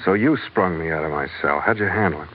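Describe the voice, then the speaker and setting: A man's voice: low voice, fast talker. An American actor playing a tough, wry ship captain in an old radio adventure, sometimes narrating his own story. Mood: bemused, sardonic. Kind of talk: 1940s radio adventure acting, first-person narration. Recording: dull, noisy old radio recording.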